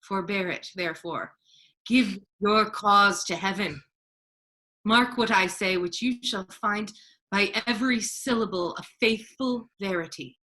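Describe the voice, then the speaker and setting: Woman playing a commanding friar, bright forceful voice. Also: medium pitch, unhurried. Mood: firm, counseling. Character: scheming duke disguised as a holy friar, male, authoritative. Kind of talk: staged reading of Shakespearean verse.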